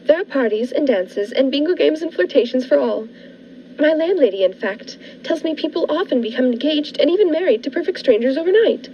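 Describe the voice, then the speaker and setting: A woman playing a shy, excitable spinster of forty in a 1940s-style radio drama, earnest and eager. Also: high voice, a little fast, a little hiss or hum. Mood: wistful excitement. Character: naive, excitable, shy forty-year-old spinster diarist. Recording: dull lo-fi with music behind.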